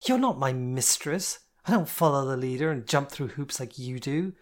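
A woman's voice: normal speed, gravelly, darkish tone. A woman voicing a screeching, enraged young woman. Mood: furious. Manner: shrill, screeching, sneering. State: drunk, tearful. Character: furious drunk young woman screaming in a fight.

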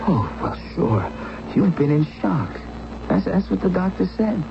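A man's voice: high-pitched, sing-song, measured pace, gravelly, heavily acted. An actor playing an anxious, pleading husband in a radio drama, insistent and stammering as he reassures. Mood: coaxing, reassuring. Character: anxious, pleading husband at his wife's bedside. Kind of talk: 1970s radio drama acting. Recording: dull, noisy old radio broadcast.

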